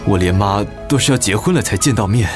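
Actor as conciliatory young family man, lively intonation. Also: lowish voice, fast, natural voice. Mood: apologetic, earnest. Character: conciliatory, apologetic son-in-law, hardworking family man. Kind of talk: radio drama acting. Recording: music behind the voice.

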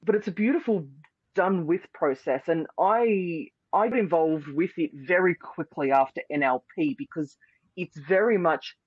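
A man's voice: on the high side, normal speed, husky, no room sound, dull audio. A man, a hypnosis and NLP practitioner, giving earnest, flowing answers as an interview guest. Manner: earnest, repeats "beautiful" and "powerfully", flowing explanations.

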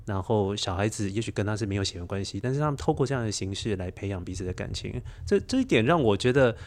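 A man's voice: fairly low pitch, animated, fast. A middle-aged man with a Taiwanese accent, a storyteller speaking as an interview guest and sharing personal anecdotes in conversation.

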